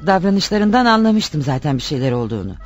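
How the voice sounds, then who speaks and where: Worried, loyal wife, expressive and insistent. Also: female voice, sits low, brisk, highs cut off. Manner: pleading, questioning. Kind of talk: radio play acting.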